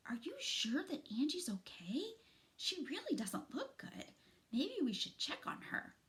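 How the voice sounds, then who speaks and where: A woman's voice: high-pitched, very animated, measured pace, a bit bright, in a whisper, voice pushed up, exaggerated voice. A woman voicing a tiny, curious young girl possum with an earnest manner in a children's storybook. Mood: worried.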